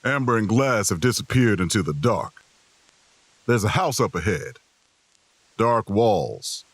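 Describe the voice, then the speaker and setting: A man playing a sick, weary traveler in an audio drama, narrating in a slow, wry, queasy way. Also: on the low side, very animated, dry recording, exaggerated voice. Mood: weakly wry. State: queasy, groaning. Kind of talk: first-person narration in audio fiction.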